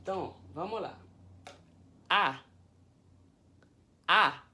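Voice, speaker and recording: Very slow-speaking teacher. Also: mid-range voice, expressive. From Haiti. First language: Haitian Creole. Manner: spells out each letter twice, loud and drawn out; keeps checking in with viewers ("tá bom?"). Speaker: language teacher on own channel. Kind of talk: casual video lesson.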